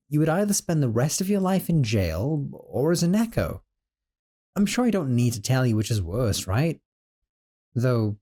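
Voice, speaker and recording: A man voicing a gentle, nervous young sorcerer roommate in an audio roleplay, talking in a soothing, hesitant way with stammers. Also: fairly high pitch.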